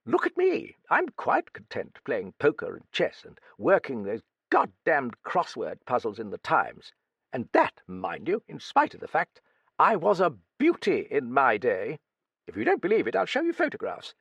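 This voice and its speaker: A man's voice: medium pitch, wildly swooping pitch, normal speed, gravelly, a bit bright, slightly rough, dry recording, muffled recording, voice pushed up, full character voice. A man reading an audiobook, voicing a blunt, hearty old woman who swears freely and talks with cheeky bluster. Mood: bluff, gossipy. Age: older.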